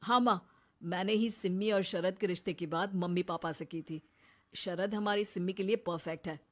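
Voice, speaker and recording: Man acting a quiet, mild young husband. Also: high-pitched, steady tone, moderate pace, close to an everyday voice. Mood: calm explaining. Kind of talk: acted Hindi cartoon family story.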